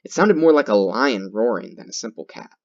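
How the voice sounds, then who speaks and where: A man reading horror game text aloud in a theatrical, halting way, repeating words and adding his own comments. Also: fairly low pitch, expressive, vocal fry. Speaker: gaming video host. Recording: dull lo-fi sound with loud music. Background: loud guitar music.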